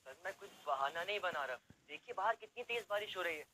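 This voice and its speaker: Man playing a high, bright, timid shop lad. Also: lively intonation, quick pace, slight background noise. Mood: pleading, reluctant. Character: hapless, timid young male shop assistant, eager to please. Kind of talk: audio story character acting.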